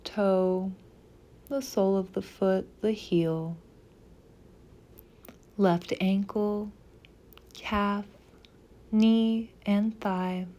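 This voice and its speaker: A woman leading a guided meditation as a scripted practice, speaking softly and gently in deliberate phrases with pauses. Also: medium pitch, fairly even intonation.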